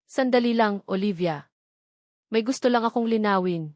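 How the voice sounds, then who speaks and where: A woman voicing a charming, confident male boss who speaks in a measured, earnest and intimate way. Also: mid-range voice, a little mellow, no echo, studio quality, lightly acted.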